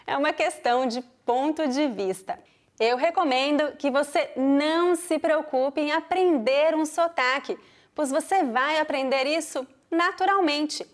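High-voiced Brazilian woman teaching pronunciation. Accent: Brazilian Portuguese, mixed regional. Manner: clear, deliberate explaining with spoken examples. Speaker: Portuguese teacher. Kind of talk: pronunciation lesson.

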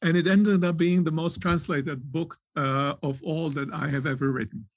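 A man, giving thoughtful, halting answers in an interview, often restarting his phrases as he searches for words. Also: a little high, fairly even intonation, breathy edge, no echo, clean studio sound. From Croatia. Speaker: author and theologian, interview guest.

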